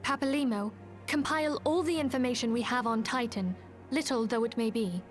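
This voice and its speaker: A woman playing a composed, courteous leader in a fantasy video game, speaking formally and with measured, archaic phrasing. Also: on the high side, close to an everyday voice. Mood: composed, commanding. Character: composed, courteous female leader of an adventuring order. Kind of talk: scripted fantasy game acting. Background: faint music.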